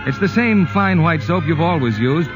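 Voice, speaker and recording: A man reads credits and sponsor copy in a polished 1940s announcer style. His voice sits a little high. Music plays clearly beneath the muffled broadcast sound. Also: lively intonation, moderate pace. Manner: smooth, polished announcer read. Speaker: radio announcer.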